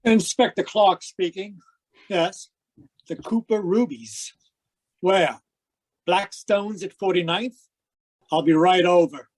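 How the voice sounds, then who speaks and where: Police inspector played by an actor, radio mystery, medium pitch, methodical, polite, authoritative. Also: slow pace, long pauses. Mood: calm authority. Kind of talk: old-time radio mystery acting.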